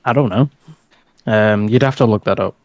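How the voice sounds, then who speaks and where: A man's voice: lowish voice, normal speed, no echo. A man from the UK chatting casually on a livestream, explaining himself in a hesitant, hedging way. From United Kingdom.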